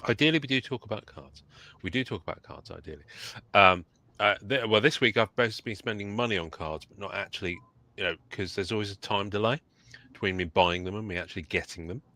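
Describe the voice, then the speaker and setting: A man's voice: lowish voice, a little fast, bright, forceful tone. An English man co-hosting a live podcast, chatty and joking, a little throaty from a cold. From England. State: getting over a cold, throaty. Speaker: podcast co-host. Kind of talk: casual live-stream chat.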